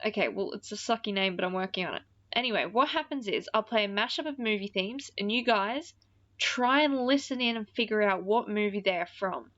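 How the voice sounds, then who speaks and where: A woman's voice: mid-range voice, expressive, brisk, fairly bright tone, no echo, lo-fi sound. A woman hosting a radio-style show in casual DJ chat, with an informal, rambling, self-deprecating manner. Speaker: radio-style podcast host.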